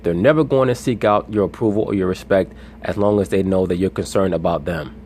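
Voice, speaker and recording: A man from the United States giving a monologue commentary on video clips, deliberate and lecturing, with a preacher-like cadence. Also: low-pitched, very noisy.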